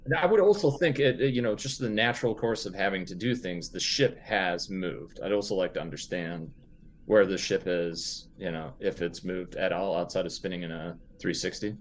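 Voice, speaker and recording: A man's voice: low voice, moderate pace, slight background noise. A man talking casually as a player in a tabletop role-playing game.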